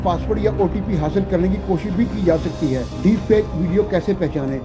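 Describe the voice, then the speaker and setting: A Pakistani man with a notably high voice reads a scripted news explainer. His delivery is measured and formal, in an instructive presenter style. The audio is dull and lo-fi, with clear music playing behind him. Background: prominent background music.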